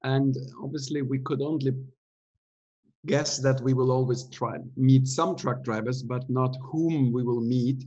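A man in his forties, a theatre director, giving a relaxed talk over a video call from a room at home, explaining with many ums and uhs. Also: medium pitch, measured pace, muffled recording. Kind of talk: casual online talk. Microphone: laptop webcam video call.

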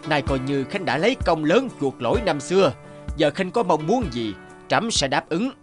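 A man's voice: on the high side, very animated, normal speed, faint music behind. A man voicing a dignified, magnanimous aged emperor with stately, formal speech in a cartoon. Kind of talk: Vietnamese cartoon dubbing.

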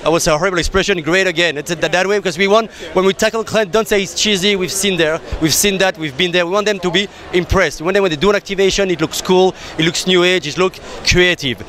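A man's voice: sits high, quick pace, few pauses, fairly bright tone, a little hiss or hum. A man in his thirties from Belgium, with a Belgian accent, giving an eager, persuasive product demo on a trade show floor into a handheld mic. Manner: eager, persuasive, slightly non-native phrasing. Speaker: exhibitor demonstrating a photo booth. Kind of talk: energetic product demo and sales pitch. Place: crowded trade show hall, indoors. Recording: crowd chatter from a busy expo floor.

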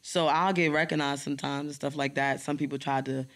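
Young NY woman, rapper guest: deep, strong voice, blunt slangy delivery, studio boom mic. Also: brisk. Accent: New York. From New York City. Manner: blunt, animated, street slang. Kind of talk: casual interview chat. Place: podcast studio lounge. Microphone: studio mic on boom arm, headphones.

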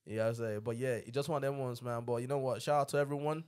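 A man's voice: mid-range voice, steady tone, rapid-fire, darkish tone, clean tone. A man, hosting a casual studio chat in an emphatic, slangy way, often repeating himself. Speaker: podcast host. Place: small podcast studio. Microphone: podcast microphone on boom arm.

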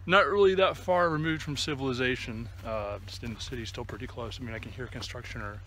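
A man in his thirties records a casual hiking vlog outdoors on a handheld camera. His mid-pitched voice rambles along haltingly as he walks, with clear ambient noise behind him. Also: a bit bright, low-quality recording. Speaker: hiking vlogger. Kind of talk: casual vlog chat to camera while walking. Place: outdoors on a wooded trail and open meadow. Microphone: phone or camera at arm's length.

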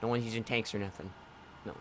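A man's voice: on the low side, steady tone, fast, crowd noise. A young man in casual gaming banter, playfully taunting the other players. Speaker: video game player.

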